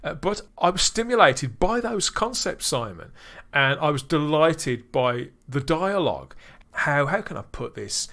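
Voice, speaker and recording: A man's voice: fairly high pitch, very animated, a little slow, fairly bright tone. A man hosting a podcast, reviewing in casual chat, enthusiastic, with frequent restarts. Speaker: podcast host.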